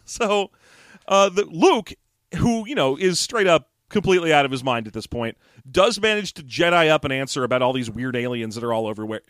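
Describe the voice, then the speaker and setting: A male podcast co-host chats about a book in a loose, joking way and keeps slipping into quick impressions between laughs. His voice sits a little higher than most men's. The sound is dry and close, with no room around it. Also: fairly bright tone, dry recording. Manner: jokey, laughs often, puts on quick impressions. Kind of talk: casual chat discussing a book.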